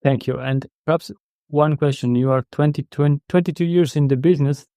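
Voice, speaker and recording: A man who is not a native English speaker, hosting a conversational interview in a polite, halting way with repeated words. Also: on the high side, steady tone, mellow, no room sound, studio quality. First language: not English or German. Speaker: podcast host interviewing a guest.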